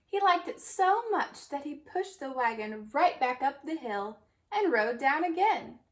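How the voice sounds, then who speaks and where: A woman reading a children's story aloud in an expressive storytelling manner. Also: sits high, unhurried. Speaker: storybook reader.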